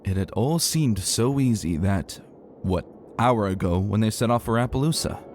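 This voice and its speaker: A man narrating a dramatic story in an expressive, emphatic and colloquial way. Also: fairly low pitch, moderate pace. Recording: soft music behind narration.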